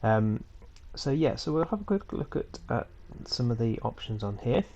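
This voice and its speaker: A man with a head cold walking viewers through a screen-recorded tutorial at home in a relaxed, rambling way, with frequent ums and small self-corrections. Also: medium pitch, moderate pace, muffled recording, slight background noise. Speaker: tutorial host. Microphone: computer mic for a screen recording.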